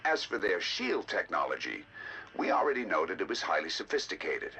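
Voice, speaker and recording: Bright, animated male scientist, measured delivery. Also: low voice, a little hiss or hum, exaggerated voice. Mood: measured, scholarly. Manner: measured, articulate, intrigued. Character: learned male researcher, eager and fascinated by alien ruins. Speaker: scientist narrating research logs. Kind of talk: scripted game audio log narration.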